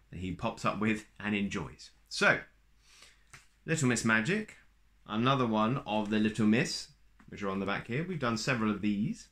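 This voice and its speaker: A man reading a children's picture book aloud in a slow, playful storytime manner, adding little asides to listeners. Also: mid-range voice, fairly bright tone.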